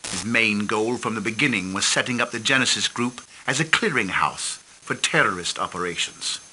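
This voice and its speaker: An actor playing a formal, grave intelligence chief who briefs a commander in a game cutscene, measured and dropping low at the ends of lines. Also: male voice, animated, pushed and bright, husky, full character voice, rough recording. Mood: urgent. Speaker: mission commander on a video link.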